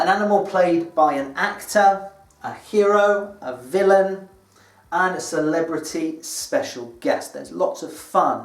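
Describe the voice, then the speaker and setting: A British man speaks as an English teacher, presenting to learners in a deliberate, explanatory way. His voice sits a little higher than most men's. The room rings with clear echo, and he sounds somewhat away from the microphone. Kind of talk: scripted educational presentation to camera. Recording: echoey room, distant microphone.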